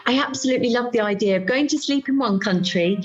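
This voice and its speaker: A woman's voice: fairly high pitch, quick pace, muffled recording, close to an everyday voice. A woman playing a teasing, melodramatic middle-aged friend in an amateur radio play. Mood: chatty, sardonic. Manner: melodramatic, sardonic. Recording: home recording over video call.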